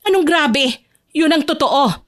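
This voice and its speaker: A woman's voice: high voice, expressive, measured pace, a bit bright, pitched above the performer's own voice. A woman voicing a harsh middle-aged female supervisor in an audio drama, nagging and belittling with sarcastic scorn. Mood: scornful, scolding.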